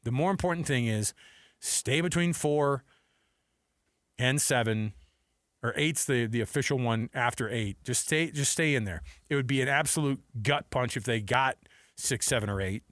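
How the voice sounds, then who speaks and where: A man's voice: mid-range voice, normal speed, fairly bright tone, no room sound, clean studio sound. An American man hosting sports talk radio, giving opinionated commentary in an emphatic, exasperated, conversational way. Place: radio studio.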